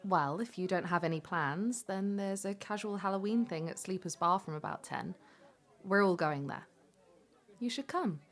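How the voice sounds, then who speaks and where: An actress playing a friendly young university student in an audio drama, with a bright, lilting voice. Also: female voice, medium pitch, moderate pace, lightly acted. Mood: friendly, inviting. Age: young adult. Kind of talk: naturalistic audio drama dialogue.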